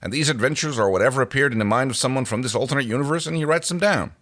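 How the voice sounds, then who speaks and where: A man's voice: mid-range voice, very fast, a bit bright, natural voice. A man voicing a wry human astronaut who patiently explains things with a drawl in a story reading. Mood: placating.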